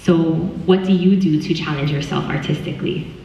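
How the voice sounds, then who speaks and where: Woman moderator, lowish voice, polite and a bit halting, distant in a reverberant hall. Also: warm tone, a little hiss or hum. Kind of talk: reading introductions and asking questions. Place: large hall at a film screening. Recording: echoey hall from far off, dull.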